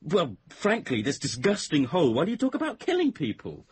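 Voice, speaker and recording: An actor in a radio drama playing a sensible young man, a boyfriend with a breathy, husky voice and a conversational manner. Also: fairly high pitch, huge pitch swings, fairly quick, a little mellow, heavily acted. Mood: dismayed. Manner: conversational, placating, turning exasperated.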